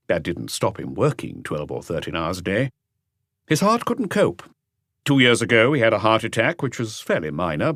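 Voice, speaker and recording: A man's voice: fairly low pitch, fairly bright tone, no room sound, clean studio sound. A man voicing an earnest, talkative doctor who explains things with assurance in an audiobook mystery. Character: earnest male doctor, talkative and conscientious family friend.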